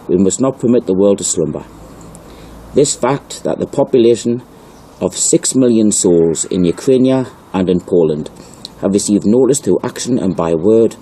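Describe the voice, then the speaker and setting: Low mellow guy doing informal narration, reads stuff out emphatically, some noise behind him. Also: poor audio. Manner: emphatic, repeats key phrases slowly. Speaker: narrator presenting old newspaper articles. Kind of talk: informal video commentary with read-aloud passages.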